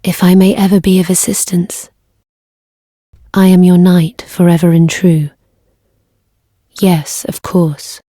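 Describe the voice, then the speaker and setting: A woman's voice: on the low side, little pitch movement, takes it slowly, pauses often, dark tone, dry recording, clean studio sound. An actress playing a reserved, earnest young lady knight in a fantasy game, speaking with formal, courteous, old-fashioned phrasing. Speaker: video game voice actor.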